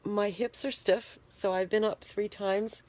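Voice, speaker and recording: A woman in a bedroom talking frankly into a handheld phone, congested and shivering, pausing often with fillers. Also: mid-range voice, fairly even intonation, a little mellow. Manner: plain-spoken, frank, halting with fillers. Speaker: vlogger. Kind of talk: casual personal video diary. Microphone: phone held in hand.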